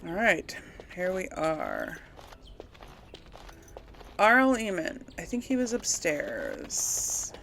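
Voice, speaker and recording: A woman chats her way through a video game in a voice full of fry. She thinks out loud and keeps asking herself questions in a casual way. A faint layer of noise and game ambience sits behind her. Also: fairly low pitch, huge pitch swings, very slow, long pauses. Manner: thinking aloud, self-questioning. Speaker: gamer hosting a role-play playthrough.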